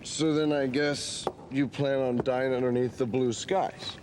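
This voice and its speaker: A man's voice: medium pitch, steady tone, measured pace, noisy background, close to an everyday voice. Man acting a wistful romantic young adventurer. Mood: wry, amused. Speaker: voice actor in a game cutscene. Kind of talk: dramatic game dialogue.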